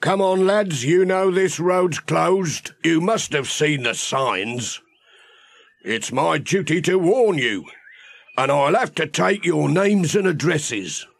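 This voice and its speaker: A man's voice: fairly high pitch, animated, vocal fry, voice pushed up, full character voice. A man voicing a bumbling, self-important village policeman in a children's audiobook, with an officious manner. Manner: slow, officious, putting on a special policeman's voice. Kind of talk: children's audiobook character reading.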